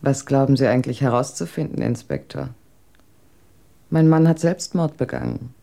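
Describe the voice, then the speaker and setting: An actress in a German radio drama playing a proud, cold wife in a wheelchair, with a cutting, sardonic, articulate delivery. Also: female voice, extremely low pitch, normal speed, vocal fry, warm tone. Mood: dismissive.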